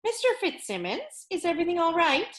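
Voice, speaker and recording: Casual: woman reading a polite puzzled teacher, high lively voice. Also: brisk. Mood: concerned. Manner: polite, questioning. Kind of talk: screenplay table reading.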